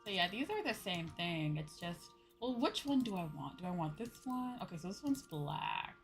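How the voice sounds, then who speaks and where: Chatty woman streamer over clear background music. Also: on the low side, very animated, moderate pace. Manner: informal, chatty, peppered with "you know" and "like". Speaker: streamer playing an online game. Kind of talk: casual livestream chat, thinking aloud while playing.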